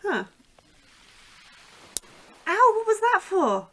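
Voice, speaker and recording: An actress playing an anxious, inquisitive young scientist who keeps pestering a coworker with questions. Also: female voice, very high voice, big pitch swings, very slow, bright, forceful tone, heavily acted.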